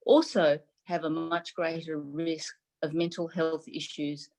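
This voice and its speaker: A woman's voice: low-pitched, little pitch movement, a little mellow. A middle-aged woman born in Taiwan whose first language is Mandarin, giving a formal speech as a medical advisor over a video call from a room at home. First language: Mandarin Chinese. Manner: measured, formal delivery in short phrases. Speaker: chief medical advisor giving a symposium address. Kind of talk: prepared speech. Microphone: video call webcam.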